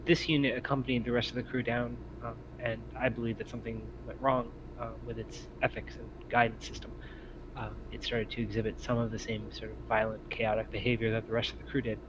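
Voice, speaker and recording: A man voicing an evasive, impatient android on a derelict spaceship in a tabletop game, with formal, measured and hesitant phrasing. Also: mid-range voice, little pitch movement, lightly acted. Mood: calm, evasive. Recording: muffled lo-fi mic with faint noise.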